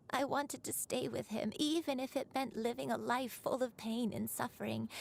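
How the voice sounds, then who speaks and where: An actress playing a gentle, remorseful old crane spirit in human form, telling a story in a measured, confessional way in a video game. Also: female voice, on the high side, vocal fry, slight background noise. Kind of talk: scripted video game voice acting, storytelling monologue.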